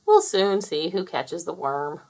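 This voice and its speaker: Henry, a woman voicing a calm rooster prince. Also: lowish voice, unhurried, exaggerated voice. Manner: calm, earnest. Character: kind young rooster prince, calm and steady.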